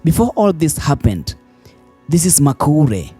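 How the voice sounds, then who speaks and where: A man's voice: on the high side, big pitch swings, a little slow, warm tone, breathy edge. A man with a Kenyan accent reading scripted online news commentary as a voice-over. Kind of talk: scripted narration. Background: faint music.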